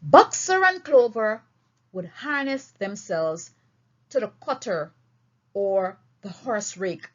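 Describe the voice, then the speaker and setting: A woman reading a story aloud in an expressive, deliberate and emphatic way. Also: mid-range voice. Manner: emphatic, deliberate phrasing with dramatic swings. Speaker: audiobook reader. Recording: dull, lo-fi recording.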